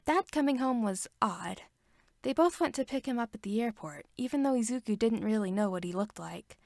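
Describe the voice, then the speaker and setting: Woman podfic reader, steady storyteller, gravelly voice, dry sound. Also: a little high, no room sound. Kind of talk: reading fan fiction aloud.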